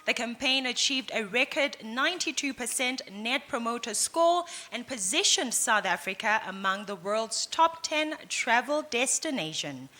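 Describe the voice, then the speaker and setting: Female conference MC, high bright voice, formal announcing delivery. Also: expressive, measured pace, few pauses, a little hiss or hum. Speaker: master of ceremonies. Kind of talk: formal speaker introduction. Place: conference hall.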